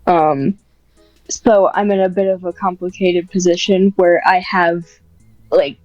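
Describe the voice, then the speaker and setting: A woman with a fairly low voice chats in a halting, filler-heavy way. The sound is muffled and dry, and faint music sits underneath. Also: fairly even intonation, darkish tone, no room sound. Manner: hesitant, many fillers and restarts. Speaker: podcast guest, ASMR script writer. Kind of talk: casual interview chat.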